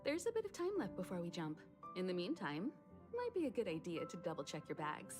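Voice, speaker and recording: A woman voicing a gracious, knowing navigator in a video game, speaking in a measured, formal, gently musing way. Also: lowish voice, wildly swooping pitch. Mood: calm, knowing. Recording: game dialogue over music.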